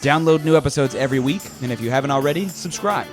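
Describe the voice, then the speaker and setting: A man reading a scripted podcast outro with a brisk promotional delivery. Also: medium pitch. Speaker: podcast announcer. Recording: voice over loud music.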